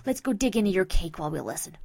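A woman voicing a brash, playful young mare DJ from a cartoon, in a loud, teasing and exuberant manner. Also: mid-range voice, animated, normal speed, no echo. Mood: excited. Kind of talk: fan fiction character voice.

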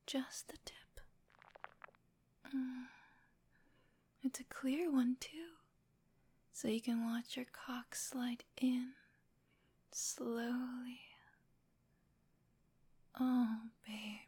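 A woman's voice: high-pitched, fairly even intonation, drawn-out words, long pauses, dark tone, slightly rough. A woman whispering breathily in an intimate adult ASMR roleplay, with a soothing, coaxing, affectionate manner.

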